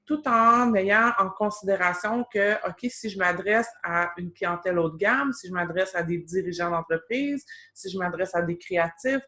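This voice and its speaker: A woman's voice: fairly low pitch, moderate pace, hardly any pauses, pushed and bright. A woman with a Québécois French accent, chatting casually as a guest and explaining advice, often dropping in "tu sais". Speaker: guest expert.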